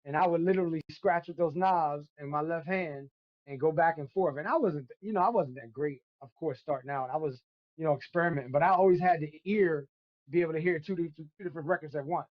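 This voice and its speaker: A man's voice: fairly high pitch, fast talker, dull audio. A man, telling stories in street slang as a podcast guest. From Brooklyn, New York. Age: 50s. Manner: animated storyteller, street slang. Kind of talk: casual podcast chat.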